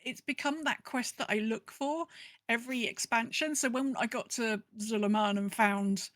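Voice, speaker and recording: A woman's voice: fairly high pitch, steady tone, moderate pace, fairly bright tone, no room sound, clean studio sound. A woman co-hosting a podcast in a casual, playful, chatty way, sometimes reading out messages. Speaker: podcast co-host. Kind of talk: casual chat.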